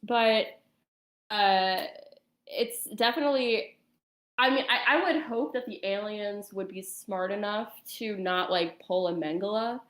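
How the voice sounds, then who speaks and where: A woman's voice: mid-range voice, a little slow. A woman guest on a talk show, casually telling a personal story in informal, hesitant phrasing. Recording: echoey room sound.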